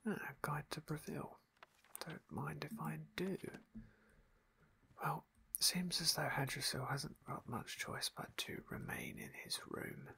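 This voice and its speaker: A man whispering ASMR narration of a video game playthrough in a soft, unhurried, genteel way. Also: a little high, fairly even intonation, creaky.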